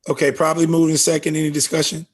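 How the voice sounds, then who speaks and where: A man chairing a formal meeting over a video call, speaking in a halting, procedural way. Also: a little high, level tone. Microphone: video call microphone.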